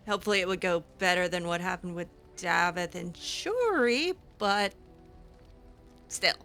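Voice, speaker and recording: A woman's voice: on the low side, lively intonation, unhurried, fairly bright tone, faint music behind. A woman playing through a fantasy game in character, chatting casually and wryly, hesitant, with lots of fillers. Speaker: roleplay streamer. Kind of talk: casual in-character roleplay chat.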